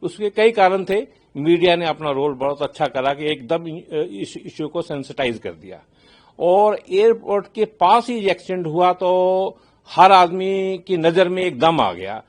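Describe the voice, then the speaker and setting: An older man with an Indian accent giving expert commentary in a news interview, speaking in a measured, halting, explanatory way and mixing in English phrases. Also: high voice, expressive. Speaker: aviation consultant and expert commentator.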